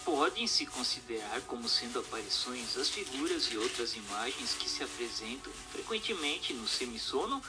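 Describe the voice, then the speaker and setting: A man's voice: medium pitch, sing-song, normal speed, hardly any pauses, loud music behind the voice, low-quality recording. A man with a Brazilian accent reading a formal, didactic text aloud as scripted narration.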